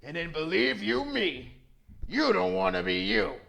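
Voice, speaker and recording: Loud, high, gravelly thug bulldog. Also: male voice, very animated, exaggerated voice. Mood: defeated, sore. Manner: blustering, drawn-out indignant tough-guy talk. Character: big thuggish bulldog gangster, loud and bullying. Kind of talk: video game villain fan voice-over.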